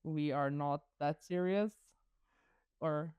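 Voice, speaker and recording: A woman's voice: low voice, slow pace, clear, resonant tone, no room sound, dull audio. A young woman from Slovenia with a Slovenian accent, co-hosting a casual podcast chat with short, laughing interjections. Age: young adult. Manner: brief interjections, laughs easily. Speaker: podcast co-host.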